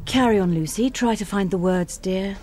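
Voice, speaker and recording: An actress playing a prim, patronising school teacher with a firm manner in a comedy drama. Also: female voice, medium pitch, moderate pace, darkish tone, natural voice. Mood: prim, impatient.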